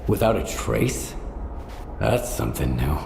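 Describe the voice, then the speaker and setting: An actor playing a gruff, laconic ex-soldier in a video game, terse and guarded. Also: male voice, extremely low pitch, big pitch swings, measured pace, noisy background, full character voice. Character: gruff, laconic male ex-soldier stalker protagonist. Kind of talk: dramatic video game cutscene acting. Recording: echoey reverberant space, music behind.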